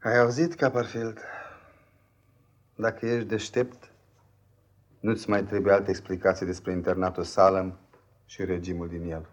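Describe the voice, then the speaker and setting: Actor as a low-voiced, resigned schoolmaster. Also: male voice, little pitch movement, pauses often, muffled recording, close to an everyday voice. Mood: matter-of-fact. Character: resigned, dry male schoolmaster showing a new pupil around. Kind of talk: 1950s Romanian radio theatre acting.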